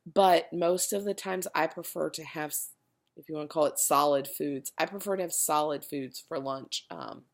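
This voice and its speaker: Hoarse, gravelly woman coaching casually. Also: on the low side. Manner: chatty, many fillers and restarts. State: losing her voice, cracking. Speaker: fitness program coach. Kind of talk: casual video talk to a group.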